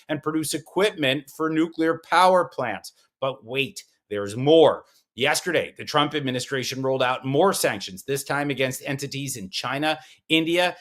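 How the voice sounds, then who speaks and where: Brief: male podcast host, wry news read, punchy sarcastic asides, mid pitch. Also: fairly bright tone. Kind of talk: scripted news briefing with commentary.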